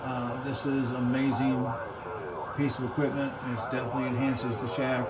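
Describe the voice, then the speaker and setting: A man's voice: mid-range voice, level tone, moderate pace, a loud crowd, low-quality recording. An American man giving a casual, unscripted gear demo in a colloquial, plain-spoken way. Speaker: radio hobbyist presenting a gear demo.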